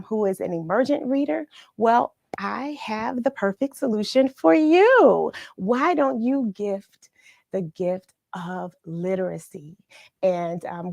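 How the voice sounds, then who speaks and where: A middle-aged American woman hosting a children's read-along livestream, chatting in an encouraging, unhurried way straight to her viewers. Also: mid-range voice, vocal fry, darkish tone, no echo. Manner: encouraging, unhurried, addresses listeners directly. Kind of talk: chatty livestream to viewers, greeting them by name.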